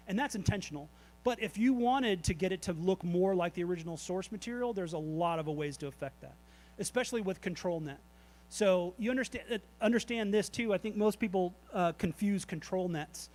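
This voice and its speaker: High-voiced man answering questions at a meetup. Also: a little mellow. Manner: loose and candid, fillers and swearing. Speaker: invited artist answering audience questions. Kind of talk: casual presentation Q&A. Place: event space at a meetup.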